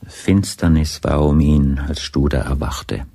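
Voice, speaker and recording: A man with a gravelly, husky voice narrating a detective radio drama as a scripted storyteller. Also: a bass voice, steady tone, unhurried, mellow, no echo, full character voice. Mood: measured.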